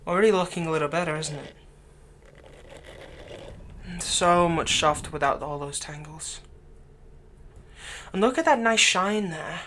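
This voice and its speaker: A man playing a doting, lightly teasing fantasy villain captor in an ASMR roleplay, speaking softly and tenderly, coaxing the listener without hurry. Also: a little high, slight background noise. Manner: soft, coaxing, unhurried, tender.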